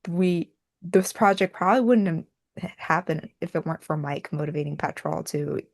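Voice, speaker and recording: Woman, lowish pitch, dry roomless sound, casual chat, hesitant, modest, self-deprecating. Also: normal speed, gravelly, dry recording.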